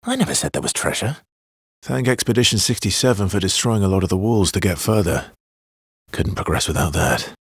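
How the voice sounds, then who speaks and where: Male game hero: weary, guarded expeditioner with quiet regret. Very raspy, low voice, understated and brooding. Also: lively intonation, a little fast, no room sound, studio quality, full character voice. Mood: guarded, matter-of-fact. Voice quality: husky, breathy. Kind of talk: video game voice lines, dramatic acting.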